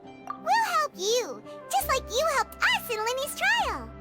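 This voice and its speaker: A woman voicing a small, perky fairy girl companion in a video game, with a childlike, squeaky voice. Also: slow, over loud music, full character voice. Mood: supportive. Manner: perky, expressive.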